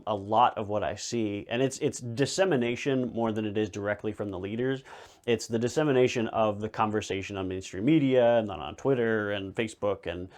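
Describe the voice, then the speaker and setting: Guy hosting a podcast, lowish voice, earnest, keeps stringing clauses together. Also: darkish tone. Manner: earnest, measured, runs on with many clauses. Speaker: podcast host. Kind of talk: conversational interview.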